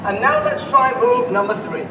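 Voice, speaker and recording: Calm man giving measured instructions. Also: very high voice, background noise. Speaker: choreographer. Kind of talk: recorded instructional voice-over. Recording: echoey playback from far off. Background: loud music.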